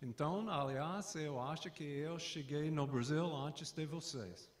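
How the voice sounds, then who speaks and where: An older man from the southern United States, a native English speaker with an American accent, giving a conference lecture in halting speech full of "ah" pauses. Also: a little high. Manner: halting, searching for words with frequent "ah" fillers.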